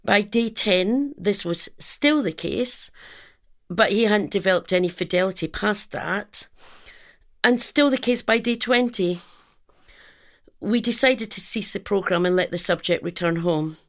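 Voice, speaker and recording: Actress as a precise female scientist, slightly gravelly. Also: mid-range voice, close to an everyday voice. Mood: clinical. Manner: measured, clinical. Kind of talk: audio drama acting.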